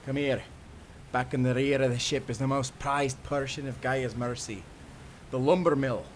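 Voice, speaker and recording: A man's voice: medium pitch, fairly even intonation, normal speed, slight background noise, lightly acted. A man playing a cheerful, dreamy young sailor with old-time nautical speech in an audio roleplay. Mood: cheerful, wondering. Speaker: sailor guide and companion.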